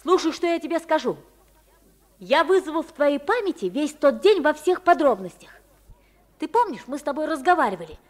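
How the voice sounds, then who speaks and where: A woman's voice: high-pitched, very animated, brisk. A woman playing a bold, plain-spoken pauper boy in an old radio play, frank and cheeky. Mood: coaxing. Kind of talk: Soviet radio play acting.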